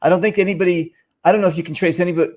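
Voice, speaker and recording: A man with a fairly high voice hosts a casual interview. He talks in a conversational, joking way and often jumps in with short interjections. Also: fairly even intonation, rapid-fire. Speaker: interview host.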